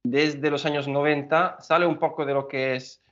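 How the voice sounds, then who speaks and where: A man's voice: on the high side, steady tone, a bit bright. Italian-accented man, hesitant guest, muffled lo-fi sound. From Italy. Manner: halting, many fillers and restarts. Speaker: guest engineer. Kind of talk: podcast interview.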